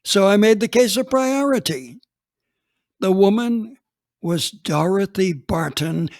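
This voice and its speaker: A man's voice: sits high, animated, slow pace. A man reading a dramatized first-person story as a police chief, plainspoken and matter-of-fact.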